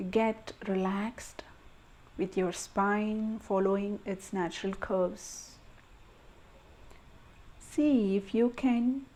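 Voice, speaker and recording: A woman leading a guided meditation in a gentle, soothing manner, speaking in short measured phrases with pauses between them. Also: mid-range voice.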